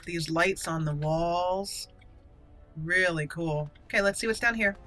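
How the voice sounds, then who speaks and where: A woman's voice: on the low side, a little slow, pushed and bright, soft background music. A woman giving casual, chatty, playful commentary as she hosts a let's play gaming video.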